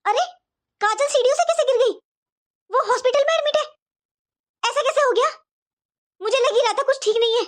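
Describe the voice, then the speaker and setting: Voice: very high-pitched, brisk, long pauses. A performer voicing an anxious, morally stern middle-aged mother in a cartoon moral story, emotional and reproachful. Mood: worried. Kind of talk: animated moral story dialogue.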